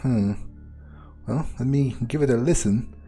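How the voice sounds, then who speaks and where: A man's voice: medium pitch, unhurried, warm tone, soft background music. A man roleplaying his way through a video game, thinking aloud in character with a musing, sardonic, sometimes profane manner.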